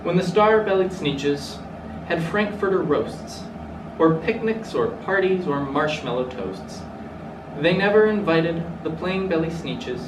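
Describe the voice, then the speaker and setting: A man's voice: a little high, lively intonation, a little slow. A young man reading a rhyming children's storybook aloud. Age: young adult. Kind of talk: storybook read-aloud in rhyme. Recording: roomy, distant mic, clearly noisy.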